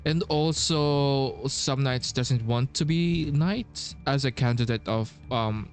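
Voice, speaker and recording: A man's voice: mid-range voice, slow, faint music behind. A man giving casual playthrough commentary as a game streamer, hesitant and thinking aloud.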